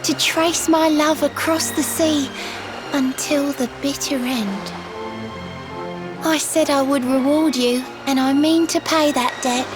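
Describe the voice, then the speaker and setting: An actress playing a lovelorn, wistful mermaid who speaks in lilting rhyming verse for a video game. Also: female voice, high voice, steady tone, slow pace. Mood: grateful, resigned. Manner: lilting, sing-song, dramatic delivery. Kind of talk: theatrical video game voice acting in rhyme. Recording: music under the voice.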